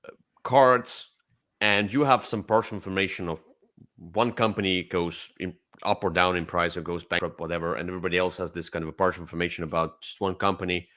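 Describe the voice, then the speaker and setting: A man co-hosting a casual board game discussion, enthusiastic, with halting, repetitive phrasing. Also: sits low, steady tone, fairly bright tone. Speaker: co-host.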